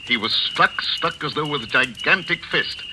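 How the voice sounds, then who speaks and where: A man's voice: fairly high pitch, expressive, normal speed, natural voice. A man playing a stern village father in an old radio mystery, earnest and emphatic, on a noisy old recording.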